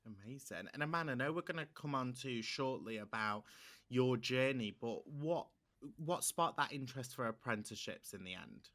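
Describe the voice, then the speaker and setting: An upbeat man hosts a roundtable interview, steering the panel with encouraging remarks. His voice is bright and forceful, and his pitch sits in an ordinary middle range. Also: expressive, moderate pace. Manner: upbeat, encouraging, fluent presenter. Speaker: panel host.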